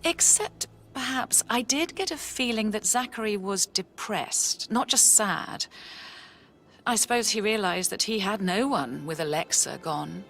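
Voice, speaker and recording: A woman's voice: mid-range voice, expressive, unhurried, gravelly, a bit bright. A woman voicing a haughty, guarded upper-class family member in a video game, composed and politely disdainful. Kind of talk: video game cutscene acting.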